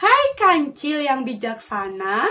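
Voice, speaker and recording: A woman voicing a vain, boastful deer in a children's fable, with exclaiming, drawn-out delivery. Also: sits high, very animated, pushed and bright, exaggerated voice. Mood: pleading. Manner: exclaiming, drawn-out storybook speech. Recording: distant mic with faint noise.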